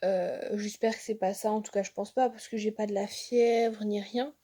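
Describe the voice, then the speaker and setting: Slightly hoarse young woman chatting casually. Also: medium pitch, fairly even intonation, normal speed, warm tone. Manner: rambling, many fillers and restarts. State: hoarse from a sore throat. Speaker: vlogger. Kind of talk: casual vlog chat. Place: bedroom at home. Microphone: phone held at arm's length.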